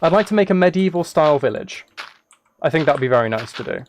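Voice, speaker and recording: Higher-voiced man, playful livestream chat. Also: expressive, fast talker. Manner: playful, teasing, rambling asides to viewers. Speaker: livestreamer and host. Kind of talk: casual livestream chat while playing a video game. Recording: clear noise with key clicks and typing. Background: keyboard typing and clicks.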